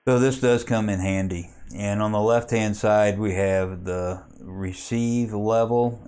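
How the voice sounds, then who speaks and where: A man giving an unscripted software walkthrough as a hobbyist, explaining step by step in a plainspoken, halting way. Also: deep voice, clear, resonant tone. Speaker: amateur radio hobbyist giving a software tutorial.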